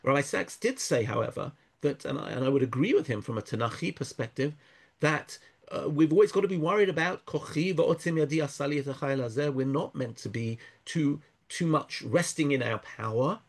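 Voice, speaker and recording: A man speaking thoughtfully in an interview, pausing and restarting often, weaving in Hebrew verses. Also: a little high, creaky. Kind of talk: conversational interview.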